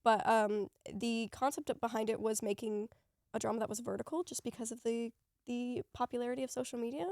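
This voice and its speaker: A woman's voice: fairly high pitch, steady tone, a little fast, a little mellow, dry recording, studio quality. A young woman hosting a podcast, asking questions in a casual, friendly way with short fillers. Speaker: podcast host. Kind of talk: casual chat.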